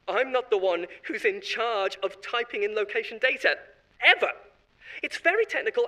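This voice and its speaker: A man's voice: high-pitched, pushed and bright. A man voicing a panicked, self-serving male corporate marketer who shifts blame in a horror audio drama, in a wheedling, defensive monologue with nervous laughs. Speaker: voice actor.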